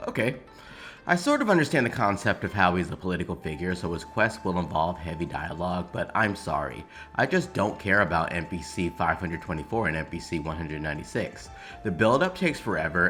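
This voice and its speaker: Male gaming commentator, very low register with lively inflection, scripted opinion delivery, dry sarcastic asides. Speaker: YouTube game commentator. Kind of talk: scripted video essay commentary. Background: background music.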